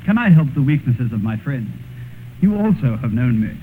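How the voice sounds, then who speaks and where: An actor playing a vain, cynical, decadent gentleman in a theatrical, taunting radio drama style. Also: medium pitch, very animated, normal speed, phone-quality sound, lightly acted. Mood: cool, dismissive. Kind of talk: 1950s radio drama acting. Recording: scratchy old radio recording, thin band, hiss.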